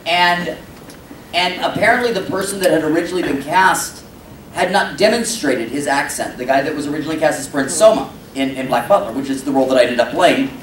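A man's voice: a little high, brisk, fairly bright tone. A male voice actor on a convention panel, telling comedic stories and acting out the dialogue. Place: convention panel room with audience. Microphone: table microphone, recorded by far camera. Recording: roomy hall, distant mic, noisy crowd.

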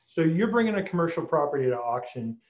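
A man's voice: fairly high pitch, very animated, fast. A man in his forties asking casual questions as a podcast host. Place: small bare room indoors. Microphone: computer mic on video call. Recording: echoey, slightly dull video call.

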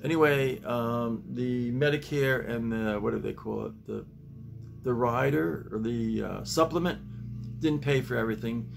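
An older man, about seventy, speaks very slowly in a mid-pitched voice. His delivery is plain and halting, recorded indoors in a small home office. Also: gravelly, soft background music. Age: older, around 70. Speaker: YouTube host and playwright reading own work. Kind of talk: script reading and casual vlog talk.

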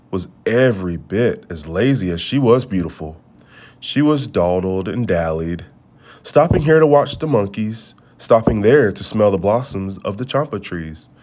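A man reading a children's storybook aloud, with measured, expressive phrasing. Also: fairly low pitch. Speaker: storybook reader. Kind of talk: read-aloud storybook narration.

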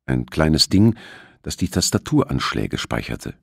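A man's voice: rumbling low, very animated, a little slow, vocal fry, breathy edge, no room sound, clean studio sound. A man narrating a novel as a scripted audiobook, acting out the dialogue.

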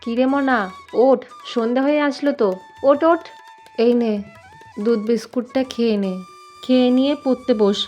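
A woman's voice: fairly high pitch, normal speed, long pauses, mellow, dull audio, close to an everyday voice. A woman playing a caring but firm mother in a cartoon, coaxing and chiding affectionately. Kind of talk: cartoon voice acting. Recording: music under the voice.

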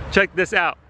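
A man's voice: bright, forceful tone, slight background noise. A man in his thirties from El Salvador, hosting a casual gardening vlog in a yard and enthusing over the fruit he tastes. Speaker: gardening channel host. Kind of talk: casual outdoor vlog chat. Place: residential yard outdoors. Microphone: handheld camera at arm's length.

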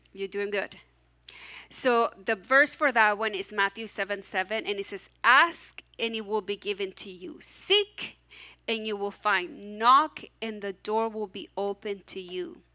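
A woman pastor in her forties with a non-native English accent, preaching a sermon from a church stage in an animated, encouraging way and prompting the congregation to repeat after her. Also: medium pitch, unhurried. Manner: animated, encouraging, audience call-and-response. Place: church stage, large hall.

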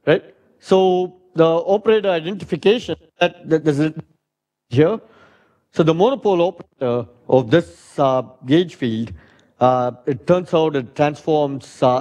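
A middle-aged man with a loud voice giving a physics lecture in a lecture hall, thinking aloud with many fillers and "right?" tags. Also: a little high. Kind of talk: academic lecture.

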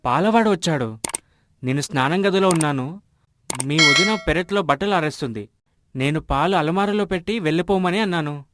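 A man voicing an earnest, generous village husband in an animated moral story, speaking in an explanatory way. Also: a little high, normal speed, natural voice. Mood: concerned.

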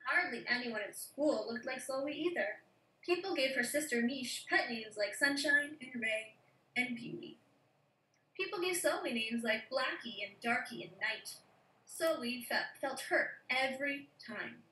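A young woman, an early childhood educator, reading a picture book aloud to children over a video call from a room at home, gentle and expressive. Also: sits high, a little slow. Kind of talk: read-aloud storytelling for children. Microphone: video call from a laptop. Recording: echoey room, distant laptop mic.

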